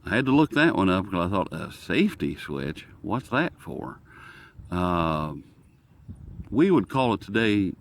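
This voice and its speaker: A man with an American accent giving a casual, unscripted product review, halting and thinking aloud as he goes. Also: deep voice, slight background noise. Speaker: host of a radio review video. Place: outdoors.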